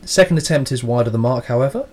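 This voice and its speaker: Male podcast co-host, casual recap style, dry and cheeky delivery, mid-pitched voice. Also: expressive, quick pace. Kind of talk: casual match recap and banter.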